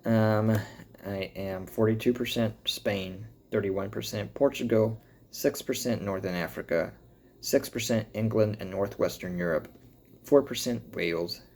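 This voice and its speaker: Gravelly American man, casual and low. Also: a little slow, a little mellow, slight background noise. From born in Cuba, grew up in the US. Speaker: vlogger. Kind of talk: casual update talk, reading results aloud.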